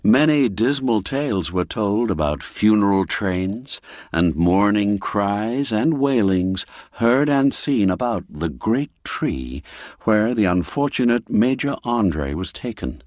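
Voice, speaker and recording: A man narrating a classic spooky tale in a measured, expressive storytelling manner. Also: fairly low pitch, no room sound. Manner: measured, expressive storytelling with sweeping rises and falls. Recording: dry studio with faint music.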